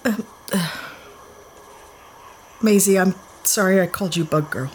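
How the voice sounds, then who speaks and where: An actress playing a brash, trigger-happy young heroine who talks bluntly and snappily. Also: female voice, medium pitch, measured pace, slight background noise, close to an everyday voice.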